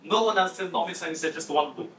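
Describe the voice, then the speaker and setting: A man's voice: fairly high pitch, creaky, a bit bright. Man heard from afar in an echoey hall. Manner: halting, many restarts while explaining word forms. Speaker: conference discussant. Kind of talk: academic Q&A discussion. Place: lecture hall. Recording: echoey room, distant mic, faint noise.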